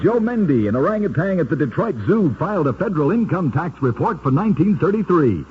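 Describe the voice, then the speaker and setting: A dull, noisy vintage radio recording carries a man telling curious tales. His voice sits slightly high and swings in pitch with dramatic emphasis. He reads as a scripted narrator, stressing each point. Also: big pitch swings, fairly quick, loud music behind the voice.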